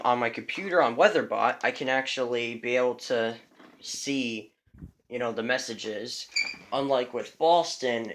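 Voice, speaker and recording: A man's voice: mid-range voice, a bit bright. A man talks casually about his radios in a home room, recorded on a phone. He rambles, fills gaps with ums, and restarts sentences as he corrects himself. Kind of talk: casual show-and-tell vlog. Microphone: phone camera.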